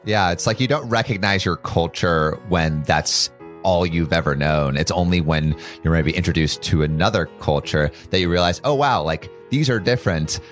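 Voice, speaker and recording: A man's voice: low-pitched, moderate pace, a bit bright, soft background music. A man hosting an interview podcast, reading an intro and asking reflective questions. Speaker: podcast host.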